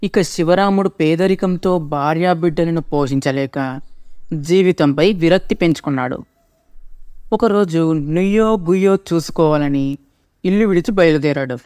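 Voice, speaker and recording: A man's voice: fairly high pitch, normal speed, a little mellow, smooth, no room sound, clean studio sound. A man narrating a scripted cartoon story as its storyteller.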